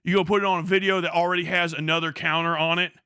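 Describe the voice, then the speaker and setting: A man's voice: a little high, rapid-fire, clear, resonant tone, no room sound, clean studio sound, voice pushed down. A man voicing a dazed, sycophantic stoner bro, slangy and drawling as he hypes up his friend in a comic rant. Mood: awed, flattering. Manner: slangy, awed, drawling flattery.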